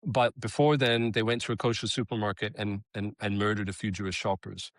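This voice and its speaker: A middle-aged man from Israel, a copywriter and advocate, telling his story in an interview in an earnest, steady way with frequent restarts. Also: fairly low pitch, brisk, no room sound, studio quality. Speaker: guest, creative copywriter and advocate.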